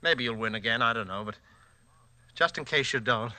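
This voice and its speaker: An actor playing an unhinged husband held in an asylum, intense and brooding, in an old-time radio suspense style. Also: male voice, medium pitch, normal speed, dry recording. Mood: grim, quiet. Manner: intense, brooding, swinging from hushed to frenzied. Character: unhinged husband, an asylum patient confessing a killing. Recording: vintage radio recording, faint noise and clicks.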